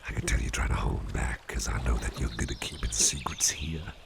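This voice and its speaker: A man playing a calm, playful businessman boss in a slow, intimate ASMR roleplay, speaking softly and soothingly, often close to a whisper, with a gravelly voice. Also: background noise, full character voice. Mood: teasing, secretive. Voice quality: very deep, gravelly. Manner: soft, soothing, unhurried, often dropping near a whisper. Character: calm, playful businessman boss hypnotizing his employee with a pendant.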